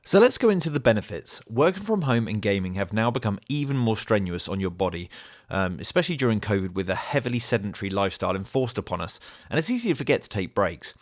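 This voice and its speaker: Low-voiced man with a cold. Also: sing-song, quick pace. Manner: easygoing, explains features step by step. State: has a cold, voice a bit deeper than usual. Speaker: channel host reviewing a product. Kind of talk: casual product review to camera. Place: home dining room.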